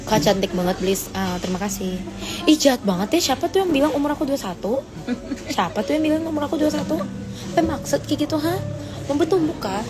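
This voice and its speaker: A woman's voice: expressive, a little fast. Teen girl w/ chatting on a phone live, teasing + playful, music going behind her. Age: teens. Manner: teasing, playful, rises high when mock-outraged. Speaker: livestream host. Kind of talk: casual live chat reading comments.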